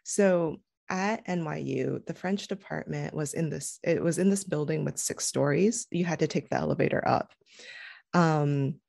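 A woman's voice: low-pitched, normal speed, gravelly, a little mellow, dry recording, clean studio sound. A woman, a guest on a video-call interview, talking thoughtfully with frequent restarts and fillers. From United States. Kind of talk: casual conversational interview. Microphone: headset.

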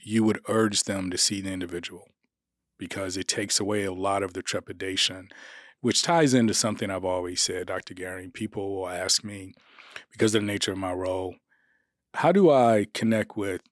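Deep affirming middle-aged male host. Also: fairly even intonation, normal speed, no room sound, clean studio sound. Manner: affirming, frequent murmured agreement. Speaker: podcast host, district official. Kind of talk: interview hosting. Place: podcast studio room. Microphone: studio boom mic.

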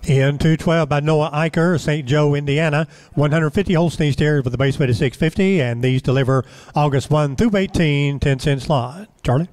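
A man with a slightly high voice announces each cattle lot in turn. He reads the details in a plain, list-like way, then hands off to the auctioneer. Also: normal speed, few pauses, darkish tone.

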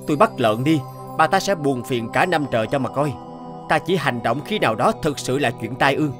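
A man voices a demon disguised as a human in an animated folk tale. He is solemn and righteous, admonishing firmly, with music behind the voice. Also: mid-range voice, a little fast, natural voice. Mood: calm explaining. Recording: music under the voice.

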